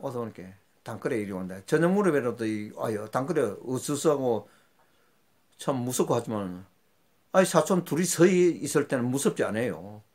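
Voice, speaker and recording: A man's voice: animated, moderate pace, darkish tone. An older man tells rambling, folksy stories from his rural youth as host of his own channel. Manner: rambling, folksy, with sudden jumps in pitch. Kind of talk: casual storytelling monologue.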